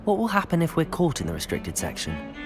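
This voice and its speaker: A man's voice: fairly high pitch, wildly swooping pitch, fairly quick, music in the background. A man voicing a curious, eager young student wizard in a video game, earnest and politely cautious. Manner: earnest, inquisitive.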